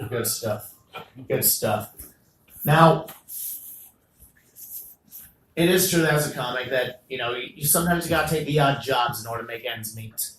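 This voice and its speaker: Animated comedian in an echoey room. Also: male voice, medium pitch, a little hiss or hum. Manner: animated, jokey, loud bursts on punchlines. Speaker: stand-up comedian and open mic host. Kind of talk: stand-up comedy set. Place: small comedy venue.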